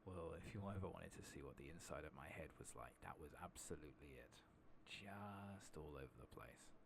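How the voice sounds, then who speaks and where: A man doing soft-spoken ASMR commentary over a game, casually rambling and thinking aloud with the odd swear. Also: a bass voice, moderate pace, vocal fry, noisy background. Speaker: gaming streamer.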